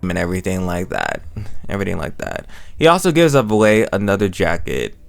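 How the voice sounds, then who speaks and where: A man with a deep voice and a heavy creak runs through a casual recap as a review host. He talks in an emotive, slangy way and circles back to repeat phrases for emphasis. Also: sing-song, fairly quick. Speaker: host reviewing an audio drama. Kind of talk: casual, rambling recap and reaction.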